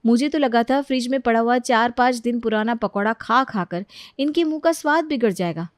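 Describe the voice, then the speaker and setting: A woman's voice: a little high, brisk, no room sound, studio quality, lightly acted. A woman voicing an overworked, exasperated young daughter-in-law in a storybook, complaining in a whiny, dramatic way. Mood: fed up. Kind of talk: acted Hindi audio storybook dialogue.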